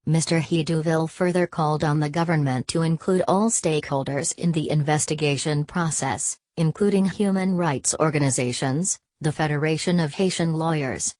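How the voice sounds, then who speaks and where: Woman newsreader, pretty low voice, reading off a script, dry sound no room. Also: darkish tone, no echo.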